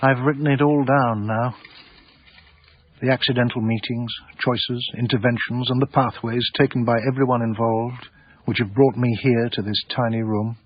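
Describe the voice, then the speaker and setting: Actor as husky, genteel, melancholy Englishman. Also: mid-range voice, level tone, moderate pace, dull audio, lightly acted. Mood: wistful. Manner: literate, wistful, precise. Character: genteel, melancholy ruined art dealer, earnest and aggrieved. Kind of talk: radio drama narration and dialogue.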